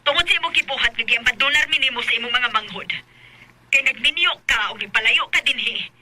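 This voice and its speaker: A woman's voice: a little high, brisk, slight background noise. A woman with a Cebuano Filipino accent playing a bitter, accusing mother in a radio drama. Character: bitter mother cursing her runaway daughter. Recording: voice under loud music.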